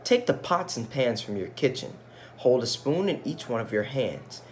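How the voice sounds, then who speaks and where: A solemn wise man of a storybook village, read by a man, handing out measured instructions. The pitch moves about freely, over faint noise. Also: very animated, lightly acted. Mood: solemn, instructing.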